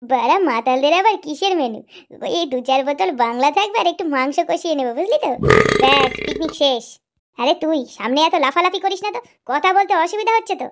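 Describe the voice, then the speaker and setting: A woman's voice: high voice, animated, quick pace. A woman voicing crude, boisterous young village drinking buddies bantering in a comedy cartoon. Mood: brash. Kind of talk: Bengali comedy cartoon dialogue.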